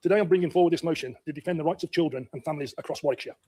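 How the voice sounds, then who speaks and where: Male councillor. Emphatic prepared political speech, dark husky tone, brisk pace. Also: on the high side. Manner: emphatic, critical. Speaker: local councillor.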